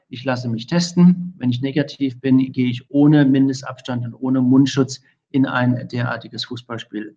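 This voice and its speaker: A middle-aged man answering interview questions as an infection medicine expert, speaking in long, measured, formal sentences full of academic vocabulary. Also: medium pitch, darkish tone. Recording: dull lo-fi audio.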